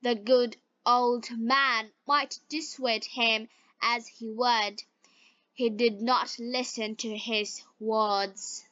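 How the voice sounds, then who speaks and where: A woman reading a fairy tale as scripted narration for language learners, in halting, deliberate short phrases. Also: on the high side, fairly even intonation, sharp tone, no room sound, dull audio. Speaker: storyteller for English learners.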